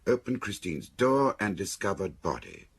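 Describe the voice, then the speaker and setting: An actor playing a dry, sceptical policeman in a radio drama, gravelly, reading out notes in a clipped, telegraphic way. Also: deep voice, sing-song, normal speed, exaggerated voice. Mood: businesslike. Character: dry, sceptical policeman reading back a murder suspect's statement.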